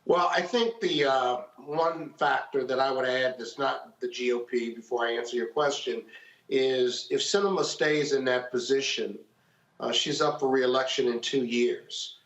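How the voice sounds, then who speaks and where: A man with an American accent giving deliberate political commentary as a panelist, laying out his points step by step. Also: mid-range voice, steady tone, a bit bright. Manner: deliberate, enumerating points, rhetorical questions. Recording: roomy, slightly dull connection.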